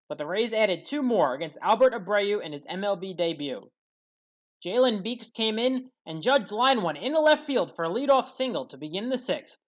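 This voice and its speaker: Woman narrating a sports highlights recap. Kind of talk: scripted play-by-play recap narration.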